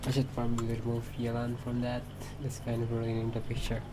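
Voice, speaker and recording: A woman's voice: very deep voice, completely flat delivery, a little fast, background noise. A woman doing casual gameplay commentary, musing aloud as she plays. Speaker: game streamer. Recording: loud game music behind.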